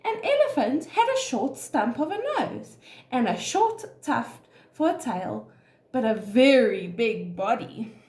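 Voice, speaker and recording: Slow, high-voiced woman storyteller. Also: echoey room. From South Africa. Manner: expressive, addressing young children. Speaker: nursery school teacher. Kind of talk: reading a children's story aloud.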